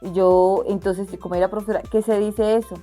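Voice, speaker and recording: Young wayuu woman from la guajira, mellow, soft music underneath, earnest, halting with restarts. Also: medium pitch, steady tone. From La Guajira, Colombia. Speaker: interviewee, artisan entrepreneur. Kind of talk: casual interview.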